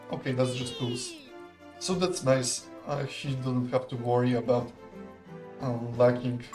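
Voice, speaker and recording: A young man, commentating a live strategy game in a relaxed, chatty, analytical way and laughing often. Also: mid-range voice. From Poland. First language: not English. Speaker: esports caster.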